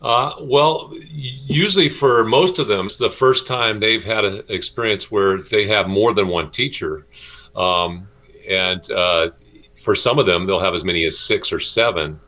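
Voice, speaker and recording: A middle-aged man, a schoolteacher, answering interview questions informally in a halting, hesitant way with many pauses. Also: lowish voice, pushed and bright, poor audio. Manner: halting, hesitant delivery with frequent pauses and repeats. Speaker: middle school math and science teacher.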